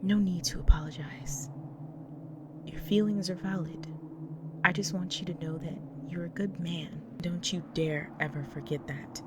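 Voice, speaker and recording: A woman playing a gentle, protective vampire wife in an intimate audio roleplay, speaking tenderly in a breathy, near-whispered voice. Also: lowish voice, fairly even intonation, lightly acted. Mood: firm, reassuring. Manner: tender, slow, soothing. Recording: noisy track with loud ambient sound.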